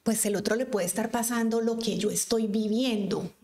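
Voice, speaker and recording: A woman's voice: fairly high pitch, steady tone, moderate pace, a little mellow. A woman in her fifties from Cali, Colombia, with a Colombian accent, chatting as a doctor guest on an interview podcast and telling stories with frequent restarts. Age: 50s. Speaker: guest, physician. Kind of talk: casual conversational interview.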